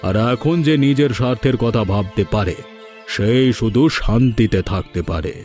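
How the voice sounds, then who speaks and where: A man's voice: mid-range voice, moderate pace, close to an everyday voice. A man voicing a cynical, self-interested villager in a cartoon. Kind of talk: Bengali fairy-tale cartoon dubbing. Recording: prominent music under the voice.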